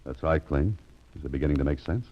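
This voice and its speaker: Very deep-voiced wry radio detective. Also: male voice, quick pace, breathy edge. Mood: matter-of-fact. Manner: dry, wry, understated. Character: calm wry male private investigator. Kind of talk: 1940s radio acting. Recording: dull 1940s radio recording, music behind.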